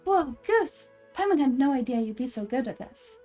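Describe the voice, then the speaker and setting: A woman voicing a small, excitable female companion from a video game, exclamatory and speaking of herself in the third person. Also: high-pitched, normal speed, faint music behind. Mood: impressed. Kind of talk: video game character voice acting.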